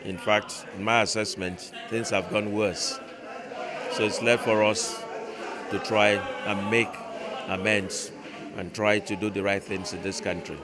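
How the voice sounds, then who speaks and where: A middle-aged man with a Nigerian accent gives a reflective interview. His voice is fairly low, over clear crowd chatter. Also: level tone, measured pace. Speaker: audience member interviewed.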